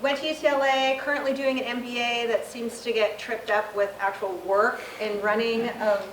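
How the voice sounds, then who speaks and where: A woman from Sonoma County introduces herself at a workshop in a roomy, echoing hall. There is clear background noise, and her somewhat high voice comes through brisk and confident. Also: flat delivery. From Sonoma County, California. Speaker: fiduciary, panelist. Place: church hall.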